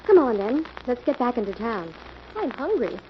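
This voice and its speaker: An actress playing an inquisitive, practical detective's assistant in a 1940s radio mystery. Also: female voice, fairly high pitch, very animated, normal speed. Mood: practical, unimpressed. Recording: noisy, muffled old-time radio recording.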